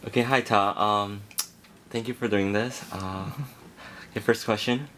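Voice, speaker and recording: A man's voice: lowish voice, fairly even intonation, measured pace, fairly bright tone, a little hiss or hum. A man asking questions as a casual interviewer.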